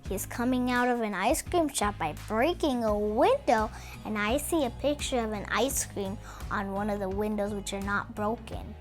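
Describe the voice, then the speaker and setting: A woman reading a storybook aloud to children in an expressive way, talking directly to young listeners. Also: medium pitch, normal speed. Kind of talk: read-aloud storytelling with chatty asides to children. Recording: music behind the voice. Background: music.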